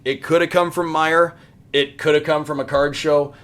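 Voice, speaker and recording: A man's voice: a little high, level tone, normal speed, a bit bright. Talkative American man in his thirties. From US Midwest. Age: 30s. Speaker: podcast guest, sports radio play-by-play announcer. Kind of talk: casual hobby chat.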